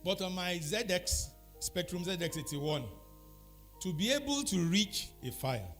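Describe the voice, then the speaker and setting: A middle-aged or older man with a Nigerian accent gives an anecdotal talk, explaining deliberately in short phrases with pauses. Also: sits high, sing-song, fairly bright tone, faint music behind. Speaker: speaker giving a talk.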